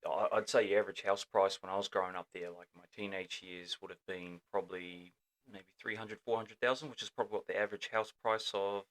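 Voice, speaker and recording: A man's voice: deep voice, steady tone, fairly quick, fairly bright tone. A man from Auckland with a New Zealand accent, a guest on a casual podcast in a small studio, talking in an easygoing, chatty way with lots of fillers. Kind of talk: casual chat interview.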